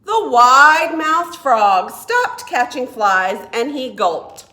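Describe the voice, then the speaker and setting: A woman with a high, bright voice leads a read-aloud for young children. She takes her time and speaks in simple, encouraging phrases. The room sounds echoey. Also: lively intonation, slow pace. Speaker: children's librarian and storytime host.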